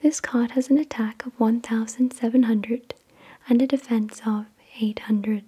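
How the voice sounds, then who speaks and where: A woman whispering in a breathy, unvoiced voice, reading in a gentle, measured ASMR style. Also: on the high side, fairly even intonation. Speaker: ASMR host. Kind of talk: whispered ASMR card unboxing, scripted reading.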